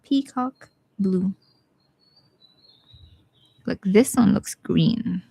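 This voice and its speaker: A young woman with a Bisaya Filipino accent who teaches watercolor live, talking casually and thinking aloud as she paints, with some quiet asides. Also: medium pitch, extremely slow, vocal fry, husky, no echo. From Philippines. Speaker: watercolor artist streaming live. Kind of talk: live painting tutorial with chat replies.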